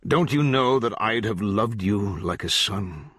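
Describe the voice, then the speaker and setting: An eloquent, menacing judge , acted by a male audiobook reader, who coaxes and pronounces in grand, archaic sentences. The delivery is slow and deliberate, the voice lowish and a little husky. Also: expressive, a bit bright, no room sound, studio quality, heavily acted. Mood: coaxing. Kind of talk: literary audiobook character voice.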